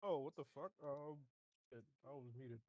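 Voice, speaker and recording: A man's voice: mid-range voice, normal speed, darkish tone, no echo. A man playing a tabletop game, chatting casually in a blunt, sweary way. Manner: profane, blunt. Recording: slightly muffled dry call audio.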